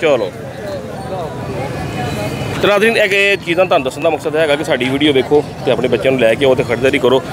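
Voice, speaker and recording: A man's voice: a little high, fast, pauses often. A man with a Lahori Punjabi accent hosting a chatty, enthusiastic street vlog in a busy outdoor bazaar, talking straight to his viewers. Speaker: vlogger touring a market. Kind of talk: lively on-the-street market vlog. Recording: busy market crowd behind.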